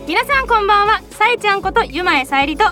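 A woman hosting a studio radio talk show, chatting quickly and laughing easily. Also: very high-pitched, expressive, sharp tone, faint music behind. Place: recording studio.

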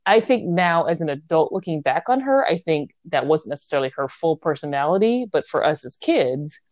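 A woman's voice: deep voice, a little fast, no room sound, muffled recording. Chatty woman telling stories. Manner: animated storytelling, many fillers, laughs often. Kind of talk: casual chat between sisters.

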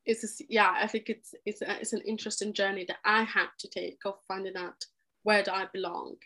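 A woman's voice: medium pitch, flat delivery, fairly quick, sharp tone. A young adult woman from Egypt, a podcast guest, talking earnestly and with animation in a conversational interview, often restarting her sentences. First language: Arabic.

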